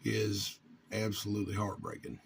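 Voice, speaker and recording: Man, low-pitched, gravelly fry, earnest informal monologue, halting with long pauses. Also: little pitch movement, a little hiss or hum. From North Carolina, United States. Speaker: vlogger and amateur radio hobbyist.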